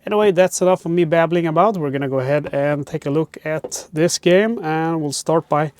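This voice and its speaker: A man's voice: on the high side, a little fast, darkish tone, smooth, no echo. A man with a Swedish accent, hosting a casual board game unboxing from inside a cramped RV on a wireless clip-on mic, chatty and rambling with lots of "uh" fillers. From Sweden. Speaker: board game channel host. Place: cramped RV parked by a harbor. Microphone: wireless clip-on microphone.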